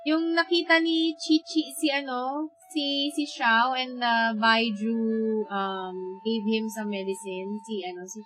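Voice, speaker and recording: Female game streamer, Filipino accent: high voice, casual bilingual chatter and reactions over soft game music. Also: fairly even intonation, unhurried, hardly any pauses, low-quality recording. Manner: chatty, reactive, switches between two languages. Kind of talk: casual live gameplay commentary.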